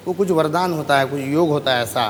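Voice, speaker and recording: A man's voice: on the high side, brisk. A man working as an astrologer on a game show, reading fortunes in formal, Sanskrit-heavy speech. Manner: formal, Sanskrit-laden pronouncements. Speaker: astrologer guest. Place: TV studio stage. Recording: reverberant studio with audience noise.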